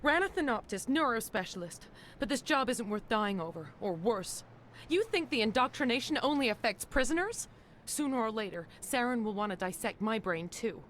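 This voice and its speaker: Scared alien scientist in a game, highish nervous voice, explaining stuff, slight bg noise. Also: female voice, animated, moderate pace, a bit bright. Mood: anxious, bargaining. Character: frightened alien woman scientist, anxious to escape. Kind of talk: video game voice acting.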